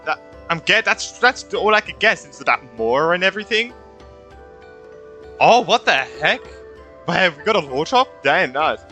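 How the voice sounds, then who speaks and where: A man streaming a game with casual, excitable, slangy reaction commentary. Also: sits high, normal speed, long pauses, soft background music. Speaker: gaming streamer.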